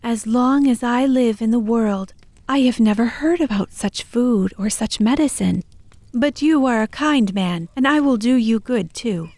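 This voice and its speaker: Gentle, helpful storybook maiden for a children's cartoon, female actor. Higher register, soft-toned, fairly dry sound. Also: unhurried, no room sound. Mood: kindly, helpful. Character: kind young fairy-tale maiden, sister of the sun.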